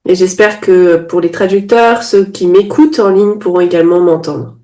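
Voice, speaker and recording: A woman interpreting a sermon phrase by phrase into French. She restates promptly after each pause and now and then corrects her wording mid-line. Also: lowish voice, mellow. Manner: quick restating, occasional self-corrections.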